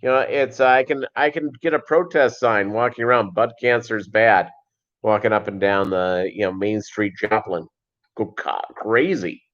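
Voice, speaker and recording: A middle-aged American man chatting casually and unscripted on a livestream, rambling and halting with a jokey manner. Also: fairly low pitch, fairly bright tone. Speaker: fishkeeping livestream host and voiceover performer. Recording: dull lo-fi livestream audio.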